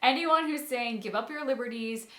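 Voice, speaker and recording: A woman's voice: on the high side, normal speed, a bit bright. Emphatic woman in an echoey room. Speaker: co-host of a game reaction show. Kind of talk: casual chat, giving opinions on a story.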